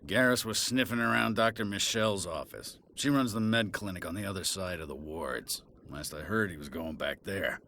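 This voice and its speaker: A man's voice: deep voice, moderate pace, hardly any pauses, background noise. An actor playing a disgraced middle-aged policeman in a video game, boozy, sardonic and sneering. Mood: mocking.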